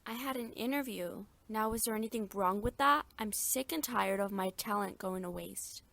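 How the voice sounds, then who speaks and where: A woman's voice: mid-range voice, moderate pace, creaky, fairly bright tone, no room sound. A woman playing a bitter, vain mother in a radio drama, with a snippy, theatrical delivery. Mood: irritated, vain.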